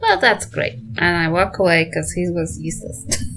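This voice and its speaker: Animated New woman player. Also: fairly low pitch. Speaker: tabletop game player. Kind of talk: casual roleplay chat.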